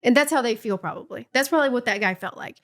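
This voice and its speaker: A woman co-hosting a podcast in casual chat. She sounds relaxed and supportive and chimes in often. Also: fairly high pitch, fast. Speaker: podcast co-host.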